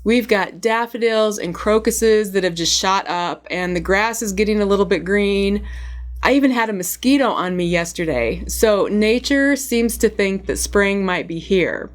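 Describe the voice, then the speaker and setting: A woman with a cold, her voice hoarse, chatting casually to camera about knitting and yarn, with frequent ums. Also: medium pitch, moderate pace. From United States. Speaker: knitting vlogger.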